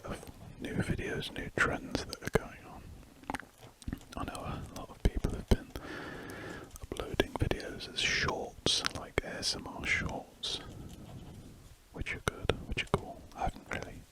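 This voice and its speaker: An ASMR drawing host chatting in a soft, unvoiced whisper close to the mic, rambling and correcting themselves while drawing. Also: measured pace, low-quality recording.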